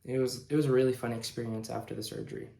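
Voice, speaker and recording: A man's voice: medium pitch, huge pitch swings, creaky, mellow. Young man storytelling in an echoey room. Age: young adult, late teens. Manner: halting, informal, pausing mid-sentence. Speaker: vlogger telling own story. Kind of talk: casual personal storytelling to camera. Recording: roomy, echoing indoor sound.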